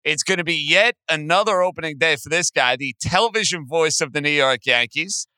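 A man talks sports as a podcast host in a booming, forceful, very bright voice. It sits high for a man, with emphatic, punchy phrasing. The sound is dry and close. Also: fairly quick, clear, resonant tone, no room sound. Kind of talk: energetic sports commentary and interview.

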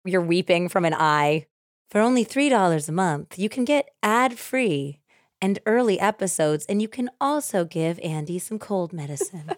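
A woman's voice: on the low side, animated, moderate pace, dry recording, clean studio sound. A woman co-hosting a podcast, mixing casual chat with true-crime storytelling in a playful, teasing way. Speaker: podcast co-host.

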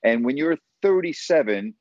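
A man's voice: medium pitch, animated, moderate pace, darkish tone, dry recording. A man in his fifties from New York, a sports broadcaster speaking as an interview guest, earnest and emphatic, repeating words as he stresses his points. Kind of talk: conversational interview storytelling. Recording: dull, dry sound.

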